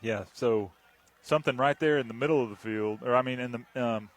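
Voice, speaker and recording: A man's voice: mid-range voice, fairly quick. A man offering dry, casual colour commentary and banter as a football co-commentator. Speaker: sports co-commentator. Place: outdoor football field sideline.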